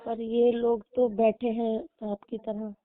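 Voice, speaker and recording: A woman's voice: on the high side, level tone, measured pace, dry recording. Plaintive young woman on a tinny phone line. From rural Uttar Pradesh, India. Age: young adult. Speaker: girlfriend on a personal phone call. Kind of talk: casual intimate phone conversation. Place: phone call recording. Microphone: telephone.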